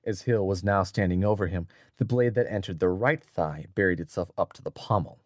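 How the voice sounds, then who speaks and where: Male narrator, lowish register, dry sound, deliberate dramatic audiobook storytelling. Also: dry recording.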